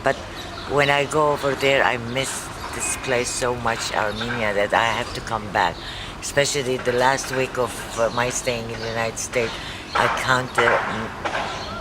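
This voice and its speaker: Brief: man, mid-pitched, relaxed and fond, outdoor traffic behind. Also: a little slow. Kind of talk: casual interview answers.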